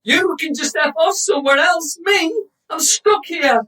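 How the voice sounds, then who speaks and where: A woman's voice: very high-pitched, expressive, unhurried, pushed and bright, full character voice. A woman acting in a radio drama as a flustered, bossy football club owner, exasperated and emphatic, recorded at a distance from the mic. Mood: panicked, ranting.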